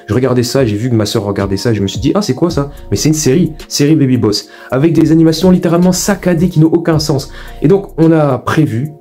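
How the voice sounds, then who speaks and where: A man's voice: mid-range voice, mellow. A young man hosting a video essay, giving informal, opinionated commentary in an emphatic, repetitive, colloquial way.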